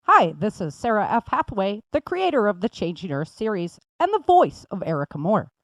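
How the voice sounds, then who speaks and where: A woman's voice: mid-range voice, big pitch swings, a little fast, dry recording. A woman, the creator of the series, reading scripted promos in an earnest, urgent manner.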